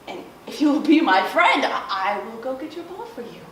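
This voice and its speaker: A woman voicing a huge, polite male frog in a measured, patient storybook manner. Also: high voice, very animated. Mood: polite bargaining. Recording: roomy, distant microphone, faint noise.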